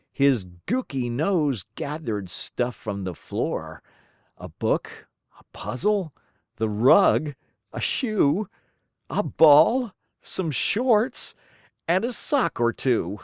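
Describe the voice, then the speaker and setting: Children's read-aloud narrator, female, theatrical and rhythmic, very unhurried, lively pitch swings, dry recording. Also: dry recording. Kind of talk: expressive children's storybook narration.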